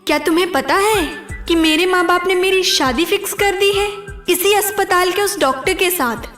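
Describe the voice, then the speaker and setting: A woman's voice: very high-pitched, moderate pace, a bit bright, reverberant, faint music behind. A woman voicing a sweet, curious, teasing young princess in a cartoon, with a sing-song way of asking. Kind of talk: Hindi cartoon story dubbing.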